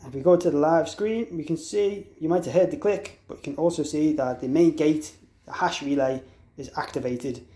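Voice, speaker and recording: A man with a slightly high voice talks through a product tutorial in an echoey room. He explains things step by step in an informal, unscripted way, carefully spelling out letters and numbers. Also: moderate pace, warm tone. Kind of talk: instructional walkthrough, informal and unscripted. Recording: echoey, roomy sound.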